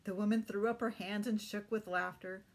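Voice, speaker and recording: A woman teacher narrating a children's storybook aloud in an expressive storytelling manner. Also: mid-range voice, moderate pace. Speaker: third-grade teacher reading aloud.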